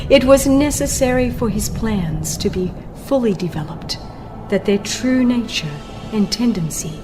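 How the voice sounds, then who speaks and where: A woman narrating a religious audiobook in a solemn, formal, dramatic scripted delivery. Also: medium pitch. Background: music under the voice.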